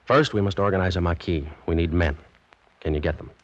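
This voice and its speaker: Man acting a no-nonsense American officer, low-pitched male voice, clipped orders, dry delivery, 1940s radio drama, muffled old recording. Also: fairly quick, lightly acted. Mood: brisk, firm. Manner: terse, commanding, dry. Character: gruff, no-nonsense American army lieutenant leading resistance fighters. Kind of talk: 1940s-50s radio drama acting with first-person narration. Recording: dull, lo-fi vintage radio recording.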